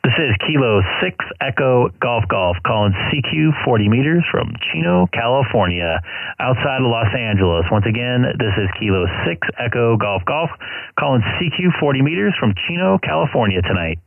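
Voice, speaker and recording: A man on amateur radio, reciting his call sign in the phonetic alphabet in a formal, repetitive way, his voice slightly hoarse. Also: medium pitch, expressive, moderate pace, no echo. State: voice getting worn, starting to go hoarse. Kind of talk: calling CQ over the air. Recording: tinny phone-like radio audio, dry.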